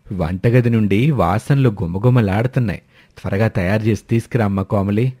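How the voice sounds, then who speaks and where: A man performs a wry older father-in-law in a cartoon moral tale. His voice is low and noticeably husky. He sounds mild and placating, with a gentle complaint in his delivery. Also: fairly quick, no echo, clean studio sound, close to an everyday voice. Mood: mellow, expectant. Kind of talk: Telugu animated moral story dialogue.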